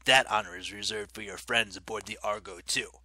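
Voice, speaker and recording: A man voicing a mocking ancient werewolf king, speaking low and quiet with silky menace. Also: a little fast, no echo.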